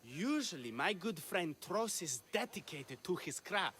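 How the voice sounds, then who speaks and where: A man's voice: high voice, sing-song, measured pace, a bit bright, heavily acted. An actor playing a self-important Greek playwright and leading man, effusive and grandiose, in a video game. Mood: grandly confiding. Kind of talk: video game dialogue acting.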